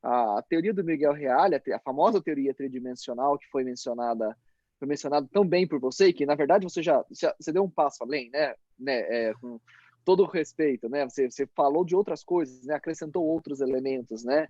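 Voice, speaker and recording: A man's voice: medium pitch, fairly quick, darkish tone, no echo, highs cut off. Man rambling with "né?" tags. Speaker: mediator and law professor. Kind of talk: academic debate question. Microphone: video call.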